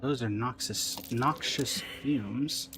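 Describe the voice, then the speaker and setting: A man's voice: mid-range voice, slow pace, noisy background. A man giving casual, dry, wry commentary as he streams a video game. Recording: music behind the voice. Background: video game music.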